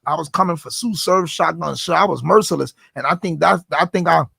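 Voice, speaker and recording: A man's voice: on the high side, brisk, gravelly, pushed and bright, breathy edge, no room sound, clean studio sound. A man chatting casually and telling stories in a slangy, emphatic way, often repeating his phrases. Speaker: battle rapper, guest. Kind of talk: casual chat, storytelling.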